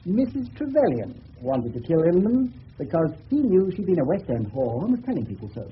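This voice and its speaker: Sardonic English policeman, animated pitch. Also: fairly quick. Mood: mocking. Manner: mocking, drily elaborate. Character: sardonic, facetious male English police officer. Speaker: police detective. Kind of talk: 1970s British radio drama acting. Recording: dull old radio broadcast, faint noise.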